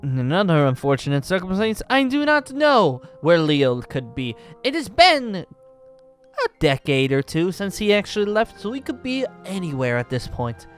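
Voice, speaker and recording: A man's voice: high-pitched, big pitch swings, moderate pace. A man playing a boastful, jovial ancient dragon slayer wizard in a theatrical, formal manner for an improvised Minecraft roleplay. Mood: resolute. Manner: theatrical, grandly formal, chuckling. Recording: background music under the voice.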